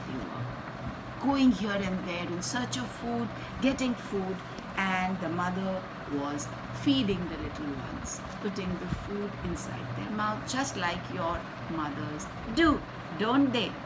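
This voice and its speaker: A woman reading a picture book aloud to young children, expressively, pausing to ask them simple questions and explain things. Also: medium pitch. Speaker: children's storyteller and channel host. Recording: clear background noise.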